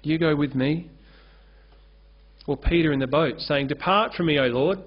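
A man's voice: a little high, normal speed, breathy edge. A man, preaching an earnest sermon at a lectern microphone on a church stage. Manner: earnest, gestures freely while preaching at a lectern. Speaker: pastor. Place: church stage with curtain backdrop. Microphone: lectern-mounted microphone. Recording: dull lo-fi sermon recording.

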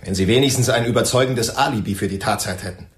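A man's voice: on the low side, quick pace, reverberant, lightly acted. An actor playing a blunt, probing police investigator in a German crime radio drama, direct and pointed in his questions.

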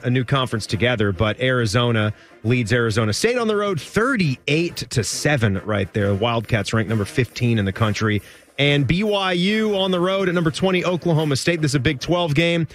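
Man hosting a sports radio show. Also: medium pitch. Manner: lively, rattles off scores and jokes. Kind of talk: post-game radio talk show.

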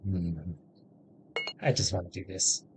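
A man in his thirties giving casual, halting let's-play commentary, thinking aloud as he plays. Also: sits low, steady tone. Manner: halting, thinking aloud, spelling out what he types. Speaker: gaming video host. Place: indoors at a computer. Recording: faint background noise, game ambience.

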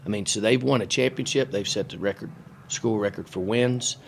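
A middle-aged coach speaks into a table mic at a postgame press conference, his low voice gravelly with fry. He talks in a halting, matter-of-fact way, looping through fillers and restarts, and a faint hum of room noise sits behind him. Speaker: basketball coach. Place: press room in front of a sponsor backdrop. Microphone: table mic on a stand.

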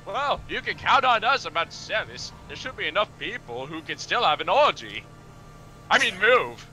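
A man's voice: high voice, very animated, normal speed, pushed and bright, soft background music, pitched below the performer's own voice, heavily acted, poor audio. A man voicing a lecherous, guilt-tripping old villager in a hammy, pleading way for a game dub. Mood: nagging, pompous.

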